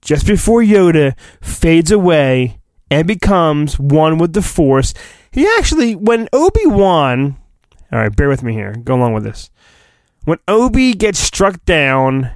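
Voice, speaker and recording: Animated man giving a hammy comic reading. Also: a little high, dry recording. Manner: hammy delivery broken by joking, profane asides. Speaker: podcast host. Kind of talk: comedic dramatic storybook reading.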